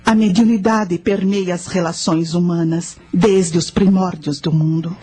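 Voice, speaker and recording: An actress playing a knowing spirit guide, telling ancient history and teaching in a measured, solemn way in a radio drama. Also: female voice, fairly low pitch, fairly even intonation, mellow, breathy edge, soft background music. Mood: instructive. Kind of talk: scripted radio drama narration.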